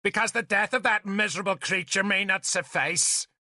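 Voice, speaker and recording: A man voicing a fierce, fanatical pagan priestess in an audiobook, shrill, belligerent and sneering. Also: takes it slowly, creaky, pushed and bright, dry recording, studio quality, heavily acted. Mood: sneering, menacing. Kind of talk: dramatic audiobook character voice.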